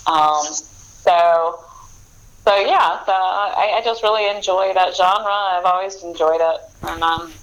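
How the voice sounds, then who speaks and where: A woman's voice: on the low side, steady tone, slow, a bit bright, rough recording. A woman author being interviewed, talking casually with many fillers and restarts. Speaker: author guest.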